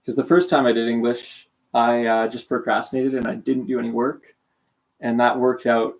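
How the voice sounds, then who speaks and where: Gravelly, sleepy young Canadian guy. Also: medium pitch, a little mellow. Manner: rambling, self-deprecating, many restarts. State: sore throat, sleepy. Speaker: streamer. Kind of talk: casual livestream chat.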